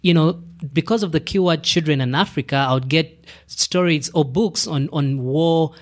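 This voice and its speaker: A man hosting a business podcast, asking questions in a relaxed, conversational way. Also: fairly high pitch, normal speed. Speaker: podcast host. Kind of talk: interview hosting.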